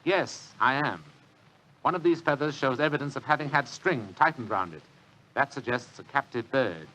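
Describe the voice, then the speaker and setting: An actor playing a lazy, pompous, shrewd British government official with a grumbling, dryly superior manner, in 1940s radio drama style. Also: male voice, medium pitch, expressive, normal speed, bright, forceful tone, low-quality recording. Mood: shrewd, intent.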